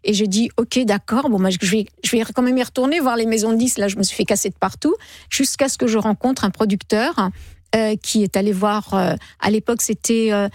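Woman in her 60s, radio guest, lively storytelling, dry close mic. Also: medium pitch, a little fast, dry recording. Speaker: guest singer. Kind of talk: casual radio interview. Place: radio studio. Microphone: studio microphone.

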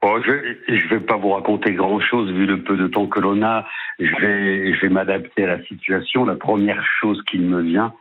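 A man in his fifties calling into a radio show, tired and halting, repeating words as he rambles. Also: low-pitched, steady tone, noisy background. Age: 50s. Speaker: caller. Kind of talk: phone-in confiding. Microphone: phone. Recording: tinny phone line.

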